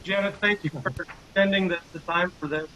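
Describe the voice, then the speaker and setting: A man chats casually over a video call as a screenwriter. His voice sits a little high for a man. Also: fairly even intonation, brisk, bright, forceful tone, slight background noise, rough recording. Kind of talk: casual chat.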